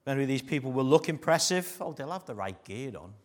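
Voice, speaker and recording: A middle-aged man with a Liverpool accent preaching a sermon, mixing jokey asides with earnest warnings that sink to a hush. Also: mid-range voice, big pitch swings, fast talker. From Liverpool, England.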